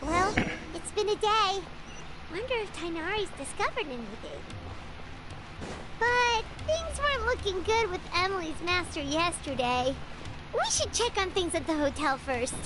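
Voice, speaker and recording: A woman voicing a small, excitable, chirpy fairy companion in a video game, squeaky and childlike. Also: a little slow. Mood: concerned. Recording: noisy game audio capture.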